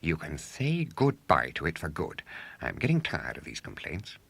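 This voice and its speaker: A man's voice: a bass voice, wildly swooping pitch, moderate pace, creaky, fairly bright tone, breathy edge, dry recording, exaggerated voice. A man voicing a stern, weary middle-aged English father in a children's storybook reading. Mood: grim warning. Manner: grim, admonishing.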